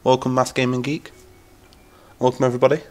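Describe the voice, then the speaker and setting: A man's voice: mid-range voice, flat delivery, fairly quick. A man from Lincolnshire with an English accent, chatting enthusiastically on a live stream while nasal from a cold. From England. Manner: chatty, enthusiastic, rambling, reading chat aloud. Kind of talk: casual live-stream chat while gaming.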